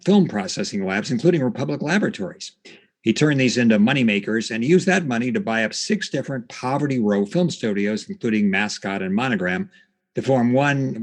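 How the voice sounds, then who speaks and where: A man hosting a podcast, telling stories from notes in a conversational, somewhat halting way. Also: mid-range voice. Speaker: podcast host. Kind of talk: storytelling narration from notes, conversational.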